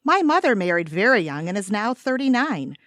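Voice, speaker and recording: A woman's voice: mid-range voice, very animated, a little fast, a bit bright, dry recording, clean studio sound. A woman playing an earnest, naively blunt young woman in a comedy audio drama, speaking in a precise, polite, upbeat way.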